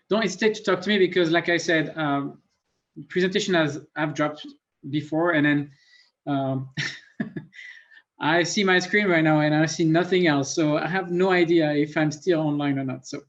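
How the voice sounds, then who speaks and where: A man with a French accent, a native French speaker, giving an online science presentation with many fillers and pauses. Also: on the high side. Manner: thoughtful, self-deprecating, many fillers. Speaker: guest lecturer. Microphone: computer mic on a video call. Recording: muffled lo-fi call audio.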